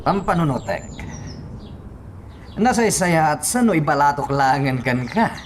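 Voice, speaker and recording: A man's voice: fairly high pitch, animated, unhurried, a little hiss or hum. A man playing a manipulative crime boss in a melodramatic radio drama, menacing and moving between coaxing and threats. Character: menacing, manipulative male crime boss scheming against a woman.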